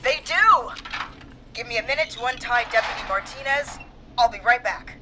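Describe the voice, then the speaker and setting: An actress voicing a frightened female police dispatcher in a horror video game, speaking urgently and haltingly. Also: a little high, background noise, lightly acted. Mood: urgent, focused.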